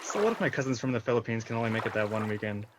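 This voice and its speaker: A man's voice: medium pitch, very animated, rapid-fire, noisy background, natural voice. A man playing a sarcastic young brother. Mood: scoffing. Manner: sarcastic, scoffing. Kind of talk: amateur online drama acting.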